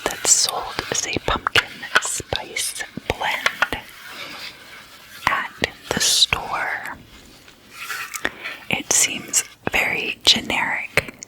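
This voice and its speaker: An ASMR host whispering in a breathy, hushed and deliberate way, as if chatting at a sleepover. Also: poor audio.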